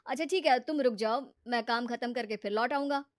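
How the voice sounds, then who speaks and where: A woman acts out a sensible married man in an animated moral tale. He is patient and earnest, with a moderately high voice and very little room sound. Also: fairly quick, no room sound, clean studio sound, natural voice. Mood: calm, accommodating. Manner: earnest, advising. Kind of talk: animated moral story character acting.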